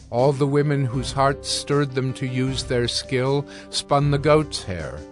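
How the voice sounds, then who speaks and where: Measured male scripture narrator over music. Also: mid-range voice. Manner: measured, formal storytelling in deliberate phrases.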